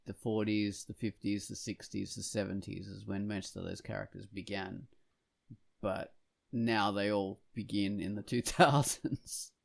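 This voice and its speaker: A man's voice: fairly low pitch, vocal fry. A man talking casually as a podcast co-host, hesitant and rambling as he thinks aloud. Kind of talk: casual discussion of films and comics.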